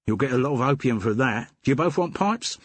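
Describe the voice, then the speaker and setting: A man's voice: medium pitch, animated, brisk, no echo, pitched above the performer's own voice, natural voice. A man voicing a shifty, grudging male opium-den keeper with a Cockney twang and a sly, wheedling manner. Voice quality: put-on routine voice, sly and wheedling.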